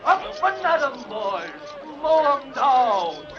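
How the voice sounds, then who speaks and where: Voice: sits high, sing-song, slow, fairly bright tone, soft background music, exaggerated voice. An actor playing a jolly, heroic dragon rallying troops in a 1930s children's radio serial. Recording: muffled 1930s radio with crowd noise.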